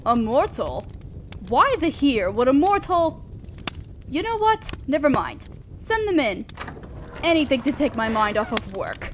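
A woman playing a haughty, teasing female demon lord in a roleplay audio drama, with a drawn-out, condescending, theatrical delivery. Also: high voice, big pitch swings. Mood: irritated. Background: crackling fire.

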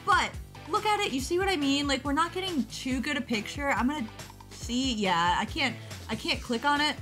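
An excitable young woman chatting at her webcam, jumping between thoughts and laughing at herself. Her voice sits a bit high and sounds tired. Faint music plays softly underneath. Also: normal speed. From United States. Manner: excitable, rambling, self-interrupting, laughs often. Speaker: model kit vlogger. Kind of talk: casual chat over a webpage.

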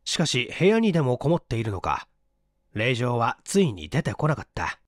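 A man's voice: mid-range voice, very animated, normal speed, no room sound, studio quality. A man reading a literary story as a scripted audiobook narration. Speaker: audiobook narrator and stage actor.

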